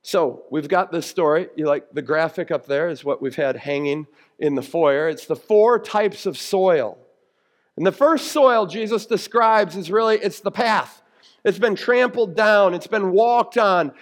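A man with a notably high-pitched voice gives a conversational sermon. He speaks as a pastor who teaches step by step, keeping his explanations plain-spoken and grounded in everyday examples. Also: a little slow. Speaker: pastor preaching.

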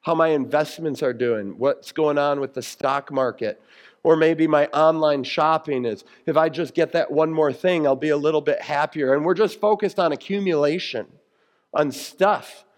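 A man's voice: a little high, moderate pace. An American man preaching a sermon in an earnest, conversational way, explaining scripture with emphatic phrasing. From United States. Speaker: pastor preaching.

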